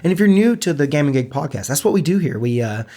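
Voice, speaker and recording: A man co-hosting a casual gaming podcast, a little stuffed up from allergies, chatting in a relaxed, self-deprecating way. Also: fairly high pitch, quick pace. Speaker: podcast co-host.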